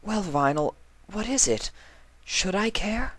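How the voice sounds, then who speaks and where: High, breathy, tearful young mare. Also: animated, slow, a bit bright, no room sound. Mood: hurt, pleading. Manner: stammering, pleading. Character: young female pony, emotional, hurt, indignant, tearful.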